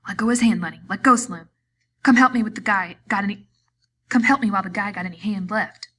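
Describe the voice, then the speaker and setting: A woman reading aloud, voicing a protective ranch worker who yells urgent commands. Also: medium pitch, fairly even intonation, a little slow, husky, voice pushed up. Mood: urging. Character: sharp-tongued small ranch worker, man, protective.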